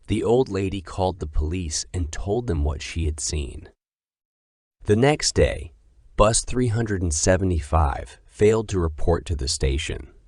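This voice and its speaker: A man's voice: low voice, a little mellow, dry recording, studio quality. A man reading scripted horror story narration.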